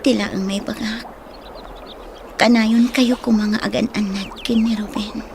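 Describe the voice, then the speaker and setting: Young female sweetheart, affectionate, fairly high pitch, Ilocano accent, radio drama, faint background noise. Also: fairly even intonation, a little slow, darkish tone. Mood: tender, breathy. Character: Sinay, affectionate young woman courted by two men. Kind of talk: Philippine radio drama acting.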